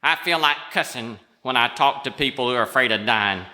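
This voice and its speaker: A man's voice: medium pitch, brisk, sharp tone. A man playing a blunt, cantankerous, unfiltered theologian in a live radio comedy sketch, ranting scornfully. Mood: indignant. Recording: reverberant auditorium stage.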